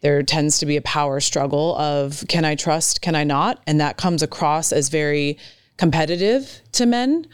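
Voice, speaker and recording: A woman's voice: low-pitched, moderate pace, clean tone, no room sound, studio quality. A woman co-hosting a podcast, speaking in a thoughtful, emphatic way. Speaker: podcast co-host. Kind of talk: conversational podcast talk.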